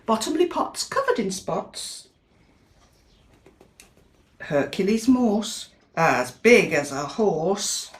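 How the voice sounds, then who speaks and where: A British woman teacher reading a picture book aloud to young children, expressive and chatty, often asking her listeners questions. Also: mid-range voice. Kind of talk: animated children's storytime read-aloud with chatty asides. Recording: echoey, reverberant room.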